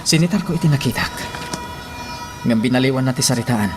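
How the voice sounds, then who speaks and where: An actor with an Ilocano Filipino accent playing an earnest, concerned parish priest in a radio drama, with a grave, measured delivery. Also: male voice, medium pitch, expressive, background noise, lightly acted. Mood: grave, worried. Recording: music behind the voice.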